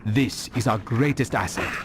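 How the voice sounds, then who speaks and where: A man voicing a grave, learned assassin in a video game, speaking in a measured, formal way. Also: mid-range voice, background noise, close to an everyday voice. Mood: reflective. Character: grave, learned young assassin, stern and reflective.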